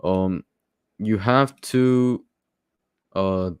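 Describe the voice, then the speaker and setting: A man's voice: lowish voice, a little mellow, smooth, no echo, clean studio sound. A man hosting a live stream who talks with a minor stutter, repeating words and filling gaps with "uh" and "you know". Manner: halting, with repeated words and fillers. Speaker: stream host. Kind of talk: casual livestream commentary.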